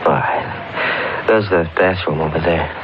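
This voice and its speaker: Haunted young husband, lowish, intense and urgent, vintage radio mystery, muffled broadcast sound. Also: male voice, slow. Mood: brooding. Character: fearful, brooding young husband haunted by curse. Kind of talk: 1940s radio mystery acting. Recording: muffled old radio broadcast, loud organ music.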